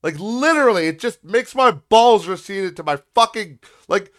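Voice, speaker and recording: A man's voice: high voice, animated, moderate pace, fairly bright tone. A young man with a nasal voice hosting a solo podcast, ranting with exasperation, profanity and lots of restarts. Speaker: podcast host.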